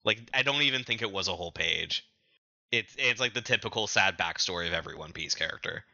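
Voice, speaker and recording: Male podcast co-host. Deep, sharp-edged voice, stop-start casual storytelling, slightly lo-fi sound. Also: lively intonation, fast talker, creaky, no echo. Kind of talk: casual recap of manga chapters.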